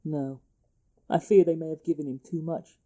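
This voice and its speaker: An actor playing a deferential, hesitant care-home underling in an audio drama, meek and reluctant. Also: male voice, a little high, steady tone, normal speed, mellow, natural voice. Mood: uneasy.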